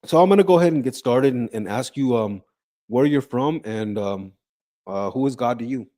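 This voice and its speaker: A man's voice: mid-range voice, lively intonation, normal speed, mellow. A man hosting an interview, asking questions with many ums and restarts. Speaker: host. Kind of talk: casual interview.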